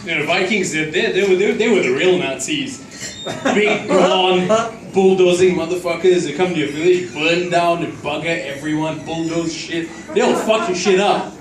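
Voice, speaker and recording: A man's voice: on the high side, moderate pace. A man from Singapore, doing a crude, profanity-laden open-mic stand-up set. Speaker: stand-up comic. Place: small comedy club at an open mic night. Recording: echoey, distant club audio with crowd noise.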